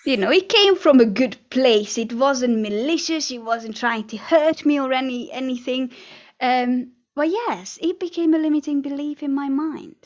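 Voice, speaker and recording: A woman talks through her story as an interview guest, earnest and a little halting, with small laughs between thoughts. Her voice sits fairly high. The sound is dull and lo-fi, like a remote call. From Hungary. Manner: earnest, with frequent fillers and soft laughs. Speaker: guest, NLP trainer and hypnotherapist.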